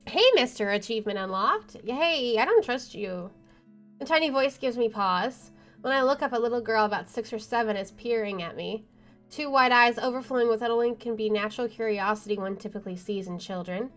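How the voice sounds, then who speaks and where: Woman, higher-pitched voice, dramatic horror narration, gamer reading visual novel text aloud, soft music underneath. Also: fairly quick. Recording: faint game music behind the voice. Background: faint game music.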